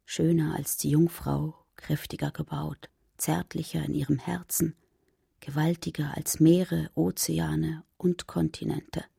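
A woman narrating poetry for a radio drama in a subdued, grave, unhurried manner. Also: sits low, level tone, gravelly, mellow, slightly rough, dry recording, clean studio sound, full character voice. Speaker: reader in a radio adaptation of a poem cycle.

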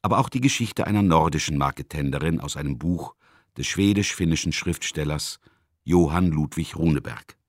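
A man presenting scripted literary commentary in a measured, articulate and emphatic way. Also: rumbling low, expressive, dry recording, clean studio sound. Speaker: radio presenter introducing a classic play.

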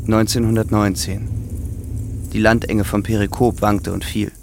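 A man reading literary narration as the narrator of a drama, with ambient sound behind him. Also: lowish voice. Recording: clear background ambience under voice.

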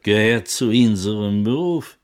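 A man's voice: fairly low pitch, lively intonation, a little slow. An actor playing a protective, wary butcher father in a radio play, speaking insistently in Yiddish-influenced German. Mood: proud. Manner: insistent, inverted word order.

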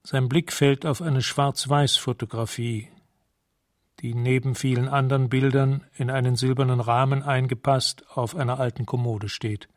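A man narrating a radio drama in a measured, detached, matter-of-fact way, as if describing scenes. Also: mid-range voice, fairly even intonation, no echo, clean studio sound, lightly acted. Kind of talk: scripted present-tense radio drama narration.

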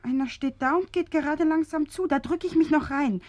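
A woman's voice: high voice, a little fast, a little mellow, dry recording, lightly acted. An actress playing a nervous, naive young woman in a militant gang in a radio drama. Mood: anxious recounting. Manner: anxious, breathless, naive.

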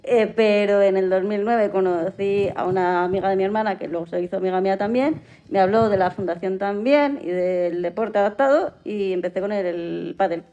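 A woman answering casually as an interview guest, halting and correcting herself. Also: mid-range voice, mellow. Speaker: interview guest, adaptive athlete. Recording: dull sound, faint music behind.

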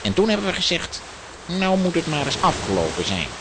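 An actor playing a courteous, proud and easily piqued engineer in a children's audio drama, formal and explanatory. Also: male voice, on the high side, wildly swooping pitch, a little fast. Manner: formal, explanatory, self-important. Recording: noisy audio drama recording, hissing ambience.

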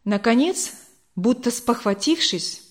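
A woman's voice: fairly high pitch, lively intonation, unhurried. A woman narrating a novel as a scripted audiobook.

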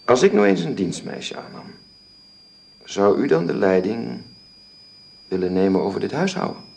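Courteous father and employer, middle-aged, creaky voice, formal hesitant phrasing, old radio drama, roomy hissy recording. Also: lowish voice, big pitch swings, normal speed, darkish tone, full character voice. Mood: polite, persuasive. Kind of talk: Dutch radio drama acting.

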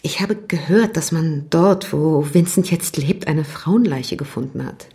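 A woman's voice: deep voice, unhurried, warm tone. An actress playing a curt, unsentimental divorced mother in a radio drama, dismissive and guarded as she answers police questions. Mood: probing. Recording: music under the voice.

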